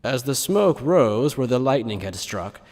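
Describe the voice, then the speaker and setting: A man's voice: mid-range voice, sing-song, a little slow, a little mellow. Reverberant male story narrator. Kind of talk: scripted dramatic story narration. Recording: long hall-like reverb.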